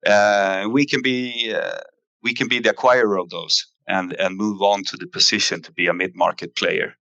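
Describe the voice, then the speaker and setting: A man giving a company investor presentation in a halting way, pausing often with fillers. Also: on the low side, fairly even intonation, vocal fry, sharp tone, no echo, dull audio. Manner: halting, hesitant delivery with frequent fillers. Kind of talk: investor earnings presentation, semi-scripted.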